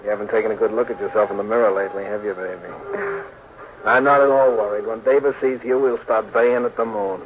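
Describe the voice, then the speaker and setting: An actor playing a smooth, bossy American gambler and swindler with slangy speech, in 1940s radio crime drama style. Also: male voice, on the low side, fairly even intonation, quick pace, natural voice. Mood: smooth, persuasive. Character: slick menacing male big-time gambler and swindler. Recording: tinny noisy old radio broadcast.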